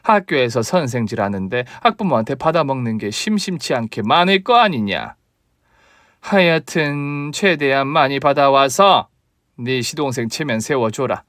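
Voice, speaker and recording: A man's voice: mid-range voice, animated, normal speed, fairly bright tone, dry recording, natural voice. A man voicing a harsh, greedy older mother-in-law who scolds and demands money in a contemptuous tone, recorded dry and close. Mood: scornful.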